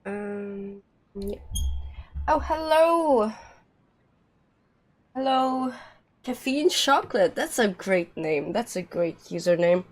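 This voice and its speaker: A woman's voice: mid-range voice, lively intonation, slow pace, pauses often. A woman game streamer chatting and commenting casually on a live stream, informal and sardonic.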